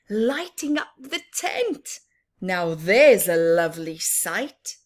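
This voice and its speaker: A woman reading a picture book aloud to children in an expressive, teacherly way, adding simple explanations and questions for the listener. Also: fairly high pitch. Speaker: children's story time reader.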